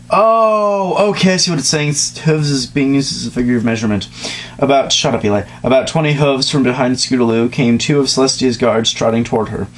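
A young man doing an informal dramatic reading of a story, stumbling over words and breaking off for casual asides. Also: mid-range voice. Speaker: reader. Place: small dim room indoors, on a couch. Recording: noisy home recording with hum.

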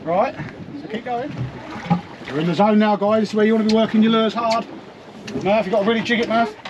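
A man's voice: sits high, brisk, dull audio. A man, coaching his family and chatting to camera while fishing from a small boat at sea. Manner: encouraging, coaching, excitable. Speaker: fishing boat skipper and vlogger. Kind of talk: casual on-boat coaching and commentary. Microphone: action camera on the boat. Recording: loud wind and engine noise on deck.

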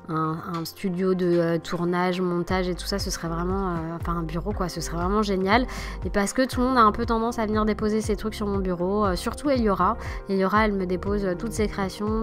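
Chatty woman vlogger voice-over, music behind. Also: medium pitch. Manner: chatty, self-deprecating, many hesitations. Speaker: vlogger mother. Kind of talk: casual voice-over narration. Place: home living room. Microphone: voice-over at desk. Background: background music.

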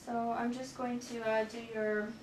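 A woman's voice: on the high side, flat delivery, moderate pace, a little mellow. A young woman playing a nurse in a clinical demonstration, politely talking a patient through assessment steps. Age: young adult. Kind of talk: scripted clinical role-play, explaining steps to patient. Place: small clinic exam room, indoors. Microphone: far camera microphone. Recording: echoey room, distant mic, noisy background.

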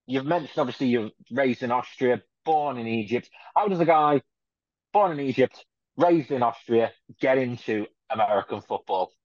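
A man's voice: medium pitch, normal speed, no echo. A middle-aged man hosting a podcast, asking long winding questions and leaning on the word "obviously". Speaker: podcast host. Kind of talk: interviewing. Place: home room indoors. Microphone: video call. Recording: dull lo-fi video call audio.

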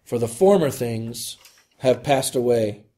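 Slow, mellow man preaching a homily. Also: medium pitch, animated. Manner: solemn, deliberate phrasing. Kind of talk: scripted homily.

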